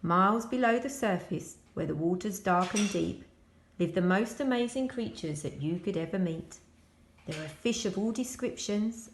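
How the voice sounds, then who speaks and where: A woman reading a children's picture book aloud as a school storyteller, expressive and addressing young children. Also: on the low side, echoey room, a little hiss or hum.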